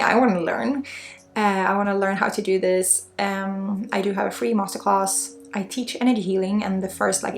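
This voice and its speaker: Creaky-voiced Swedish woman guiding ASMR healing. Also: medium pitch. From Sweden. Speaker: energy healer and ASMR host. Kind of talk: ASMR spiritual healing session, unscripted. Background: faint music.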